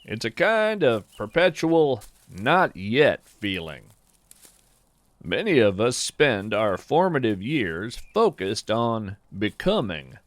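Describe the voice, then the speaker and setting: A man hosting a podcast, reading his own reflective essay in a measured, musing way that lingers on phrases. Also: medium pitch, big pitch swings, no room sound. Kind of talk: slow reflective scripted narration.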